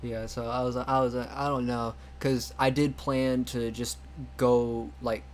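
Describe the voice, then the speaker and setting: A young man chatting loosely while he streams a game, circling back on his words and laughing easily. His voice sits at an ordinary middle pitch. A faint hum sits under his speech. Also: level tone, a little slow. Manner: rambling, full of restarts and "like". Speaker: streamer playing a game mod. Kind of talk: casual chat over a game stream.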